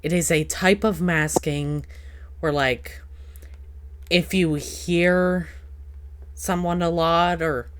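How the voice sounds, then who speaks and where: A woman's voice: fairly low pitch, fairly even intonation, slow, pauses often, slight background noise. A woman hosting a casual podcast chat in a playful, teasing, rambling way. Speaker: podcast host.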